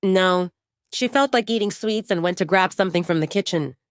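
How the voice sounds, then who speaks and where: A woman's voice: on the low side, a little fast, dry recording, natural voice. A woman voicing an unimpressed young male werewolf packmate with a dry, blunt manner in an audio drama. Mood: matter-of-fact.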